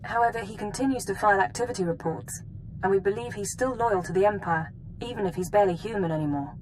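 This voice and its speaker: A woman's voice: mid-range voice, fast, a little mellow, a little hiss or hum, lightly acted, low-quality recording. A woman voicing a composed intelligence analyst in a video game, giving briefings in a precise, formal, businesslike way.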